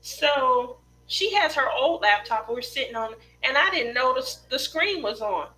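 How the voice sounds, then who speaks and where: Middle aged lady just chatting to her livestream, bright voice, rambly stories, faint noise and hum behind her. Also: high-pitched, lively intonation, measured pace, poor audio. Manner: chatty, rambling personal storytelling. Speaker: craft livestream host. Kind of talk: casual chat with live viewers.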